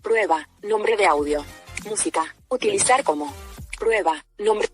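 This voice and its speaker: A woman's voice: medium pitch, unhurried, husky, soft background music. A synthetic woman's voice working as a screen reader, announcing interface labels in clipped phrases.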